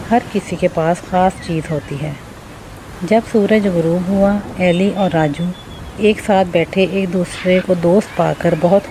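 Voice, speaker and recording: Lower-voiced woman narrating children's stories. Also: noisy background. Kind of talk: scripted children's story narration.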